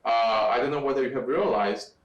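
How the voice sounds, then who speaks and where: A middle-aged man from Taiwan, a guest in an interview, speaking in a halting way with many fillers and restarts. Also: medium pitch, dull audio. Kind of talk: conversational interview. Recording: echoey room, distant from mic.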